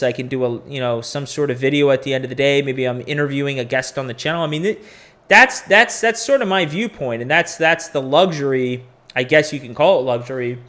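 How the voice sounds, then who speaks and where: A man's voice: mid-range voice, fairly bright tone. Candid, rambling man in his forties. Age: 40s. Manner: rambling, candid, profane asides. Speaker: crypto podcast host. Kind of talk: casual live-stream chat.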